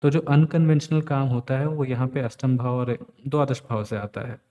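A man's voice: mid-range voice, steady tone, normal speed, dark tone, dull audio. Man explaining charts in a reverberant room. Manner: explanatory, mixes English phrases into Hindi. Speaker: astrologer. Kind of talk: screen-recorded chart analysis. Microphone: voice-over on screen recording.

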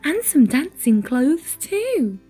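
Woman as kindly shoemaker's wife, slow and high. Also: very animated, dark tone, soft background music, exaggerated voice. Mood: earnest, kindly. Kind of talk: children's storybook character voice.

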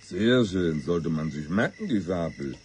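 Curious politician for radio drama, deep and ponderous with lively swings, clear background noise. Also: male voice, measured pace. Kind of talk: radio play acting.

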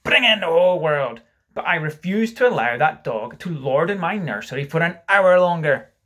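A man's voice: fairly high pitch, very animated, measured pace, sharp tone, breathy edge, exaggerated voice. A man voicing a pompous, blustering, self-pitying father in a dramatised storybook reading, theatrical and exclamatory. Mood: defiant, furious.

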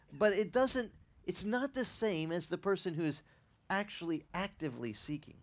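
Pastor in his fifties, slightly high-pitched man, lively off-the-cuff beach sermon on a headset mic. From Italian-American upbringing. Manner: animated, conversational preaching with restarts and asides to the audience. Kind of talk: outdoor sermon. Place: open-air beach tent service.

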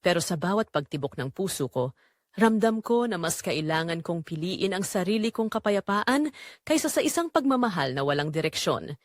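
Female narrator for emotional first-person audio drama, expressive melodic range, dry studio-like sound. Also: lowish voice, moderate pace, dry recording.

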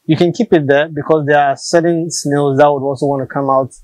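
A man's voice: fairly high pitch, fairly even intonation, a little fast, darkish tone, dry recording. A man in his thirties with a Ghanaian English accent, explaining his farm practice outdoors on a lapel mic, patient and practical, often repeating words. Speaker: snail farmer. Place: open-sided farm shelter outdoors.

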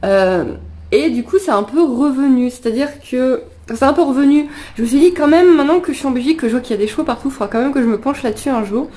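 A woman's voice: high voice, fast, warm tone. A woman in her thirties with a slight cold, chattily telling personal stories to camera and often interrupting herself. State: slightly ill with sniffles. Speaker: animal vlogger. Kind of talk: casual personal storytelling to camera. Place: living room at home, indoors.

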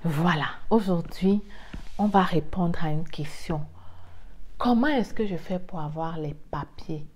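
A woman's voice: lowish voice, unhurried, darkish tone, slight background noise. A woman in her thirties hosting an informal explainer video, talking directly and emphatically and repeating key words for stress. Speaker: video host and immigration coach. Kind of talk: informal explainer talk to camera. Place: indoor room at home. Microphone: lapel mic.

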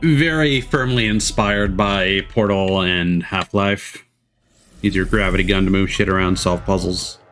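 A man's voice: deep voice, steady tone, measured pace, fairly bright tone, soft background music. A man giving casual live commentary as a streamer reacting to a games showcase.

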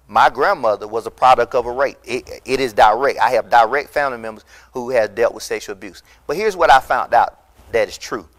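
A middle-aged man preaching a recorded sermon as pastor in an empty church hall, impassioned and emphatic, rising to loud peaks, on a lapel mic. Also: mid-range voice.

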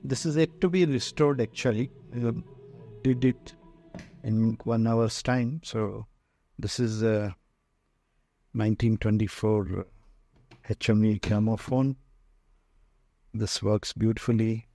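A man with an Indian accent giving an unscripted museum tour in halting, short phrases, pausing often. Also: on the low side, steady tone, dark tone, dry recording, studio quality, soft background music. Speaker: museum owner and radio restorer giving a tour. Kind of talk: unscripted walk-through tour commentary. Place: indoor room lined with shelves of old radios.

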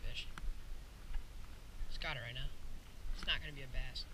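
A man's voice: mid-range voice, moderate pace. A teenage boy chatting casually to viewers while fishing from a small boat on a channel, filmed on an action camera outdoors. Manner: excited, chatty, talks to viewers as guys. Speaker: fishing vlogger. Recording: loud wind and water noise outdoors.

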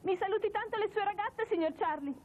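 A woman's voice: very high voice, a little fast, darkish tone, slight background noise. An actress playing an earnest, polite but spirited young woman student in a cartoon dub. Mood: concerned, teasing. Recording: narrow phone-like dubbed audio.

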